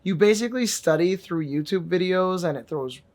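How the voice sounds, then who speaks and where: A man's voice: high voice, moderate pace, darkish tone. A man vlogging a casual app review in a wry, joking way, with sudden emphatic bursts. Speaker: VR fitness and language vlogger.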